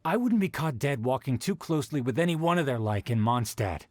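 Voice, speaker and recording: A man's voice: mid-range voice, animated, brisk, a little mellow, natural voice. A man voicing a surly, dismissive townsman in a video game, curt and disdainful. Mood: contemptuous.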